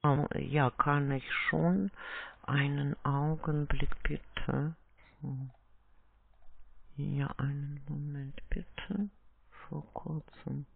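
A man with a creaky voice sells over a tinny phone line. He talks slowly and haltingly, with non-native phrasing, as he takes down an order. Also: a little high, fairly even intonation. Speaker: call centre sales agent on the phone. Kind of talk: sales call, taking an order.

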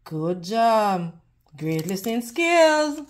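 A woman reading a picture book aloud to children in a deliberate, teacherly way, pausing to put questions to them. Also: medium pitch, big pitch swings. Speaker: children's read-aloud host.

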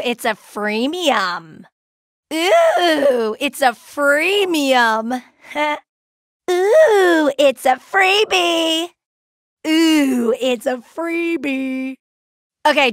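A woman's voice: high-pitched, animated, extremely slow, fairly bright tone, dry recording, clean studio sound, exaggerated voice. A woman voicing a brash, playful, laid-back young female gem warrior in a cartoon video game, with a cheeky, slangy, exclamatory delivery. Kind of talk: cartoon video game voice acting, short barks.

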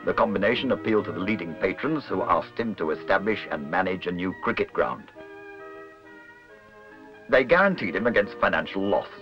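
A man's voice: low voice, lively intonation, a little fast. A man reading scripted documentary narration. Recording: muffled old film soundtrack with music. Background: music.

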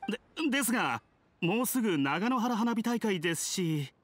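A woman's voice: medium pitch, expressive, normal speed, close to an everyday voice. A woman voicing an earnest, polite young blacksmith apprentice in an anime game. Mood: hesitant, surprised.

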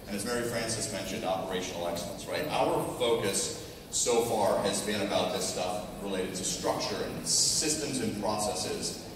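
A middle-aged American man, slightly hoarse, giving an informal conversational keynote in a large conference ballroom, picked up from the audience. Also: fairly low pitch, normal speed. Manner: conversational, restarts for emphasis, tags points with "right?". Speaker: technology executive, conference speaker. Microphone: PA heard through a distant audience camera. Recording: echoey hall, distant audience recording, noisy.

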